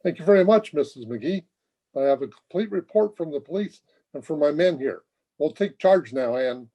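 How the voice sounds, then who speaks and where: A man playing a courteous, brisk army general, formal and authoritative, in old-time radio comedy style. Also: on the high side, expressive, warm tone, muffled recording. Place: at home on a video call.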